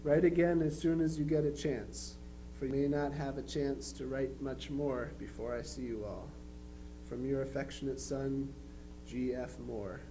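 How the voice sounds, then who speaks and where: A man playing a plainspoken twenty-three-year-old Union soldier, reading his affectionate letters home in an unhurried, matter-of-fact way. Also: medium pitch, fairly even intonation, lightly acted. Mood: reflective, matter-of-fact. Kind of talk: dramatized reading of historical letters. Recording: faintly noisy live recording with hum.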